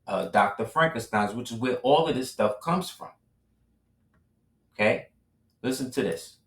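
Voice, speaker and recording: A man's voice: mid-range voice, lively intonation, measured pace. A man hosting his own show, talking in a loose, casual commentary style and dropping in tag questions between points. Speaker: host. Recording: roomy, distant mic.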